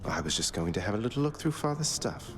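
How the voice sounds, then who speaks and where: A wilful young prince of the underworld with a low voice. He talks informally and irreverently, as game dialogue, over loud music. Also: very animated, fast. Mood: wry.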